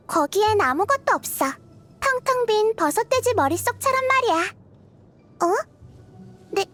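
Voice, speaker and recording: A woman voicing a gentle, curious little girl deity of wisdom in a game, soft-spoken and thoughtful, as if kindly explaining. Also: extremely high pitch. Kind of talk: scripted game character voice lines. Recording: music behind the voice. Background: background music.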